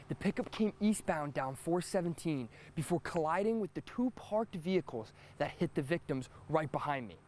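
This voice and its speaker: A young American man delivering broadcast news as a student TV reporter, in a formal announcer-like manner. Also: a little high. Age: young adult.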